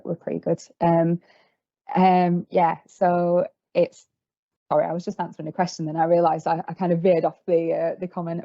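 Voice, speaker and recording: Young woman, twenties, careers-talk guest; low, gravelly, flat delivery, hesitant with ums, muffled video call sound. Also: dry recording. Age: 20s. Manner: hesitant, lots of ums and restarts. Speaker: field service trainee. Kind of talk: answering questions in an online careers talk.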